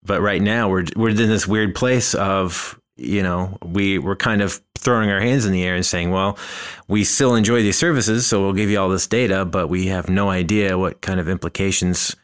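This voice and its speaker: Deep, smooth-voiced man musing aloud. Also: steady tone, normal speed. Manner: thoughtful, rambling, often restarts phrases. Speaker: podcast host. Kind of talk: casual conversational discussion.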